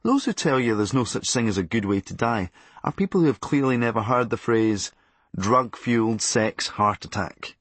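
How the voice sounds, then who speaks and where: A man's voice: medium pitch, expressive, moderate pace, fairly bright tone, dry recording. A Scottish man narrating a comic memoir in a sardonic, darkly comic and blunt manner. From Scotland. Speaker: comedian narrating a memoir audiobook.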